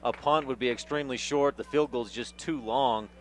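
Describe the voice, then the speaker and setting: A man's voice: medium pitch, fairly quick. A man working as a radio color commentator, explaining football plays in a conversational way during a live game.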